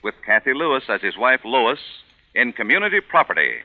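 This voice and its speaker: A man delivers a polished, emphatic sponsor script in the style of 1940s radio. His mid-pitched voice moves up and down a lot, on a muffled old broadcast. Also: very animated, fairly quick. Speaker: radio announcer.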